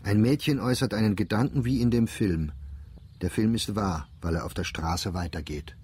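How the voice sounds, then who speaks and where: A man reading a literary diary aloud in a quiet, introspective, measured way, recorded close in a studio. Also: lowish voice, husky.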